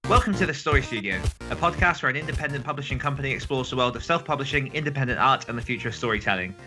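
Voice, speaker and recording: A man's voice: mid-range voice, lively intonation, rapid-fire, music in the background. A man hosting a podcast in a casual, chatty style. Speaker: podcast host. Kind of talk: casual chat.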